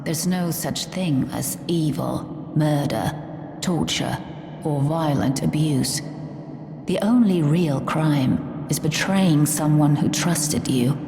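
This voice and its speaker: A woman playing a cold, implacable judge in a theatrical drama, stern, curt and deliberate. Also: low-pitched, dark tone. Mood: stern, lecturing. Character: cold, implacable female judge passing death sentences. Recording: echoey hall, long reverb, faint music.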